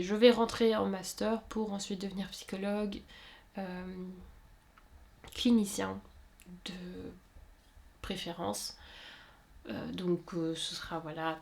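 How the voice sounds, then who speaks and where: A woman's voice: medium pitch, gravelly, slight background noise. Chatty young woman. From Luxembourg. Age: 20s. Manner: chatty and rambling, many fillers and self-corrections. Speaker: YouTuber answering viewer questions. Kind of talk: casual chat.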